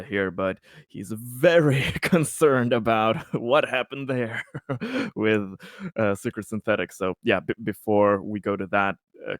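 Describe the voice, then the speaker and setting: A man's voice: fairly low pitch, dry recording, clean studio sound. A man playing a tabletop game, describing his character's actions and searching for words as he goes. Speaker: tabletop roleplaying game player.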